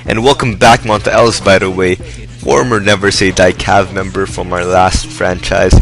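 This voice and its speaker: A young man with a hoarse voice giving rambling, upbeat commentary over his own gameplay. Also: deep voice, fairly even intonation, normal speed, a bit bright, faint music behind. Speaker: gaming video host. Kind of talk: casual game commentary. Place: indoors, hot room.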